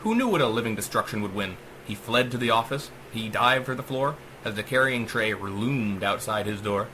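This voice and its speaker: Male narrator, theatrical verse delivery, lively pitch swings, bright forceful tone, faintly noisy recording. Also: moderate pace. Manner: theatrical, sing-song verse cadence. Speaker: author reading own story. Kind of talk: dramatic rhymed audiobook narration.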